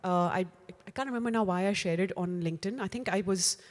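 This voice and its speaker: A woman's voice: mid-range voice, fast talker, in a large hall. A woman with an Indian accent giving a live talk to an audience, direct and coaching, slipping into Hindi phrases. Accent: Indian, with Hindi mixed in. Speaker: workshop speaker. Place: large room before a live audience.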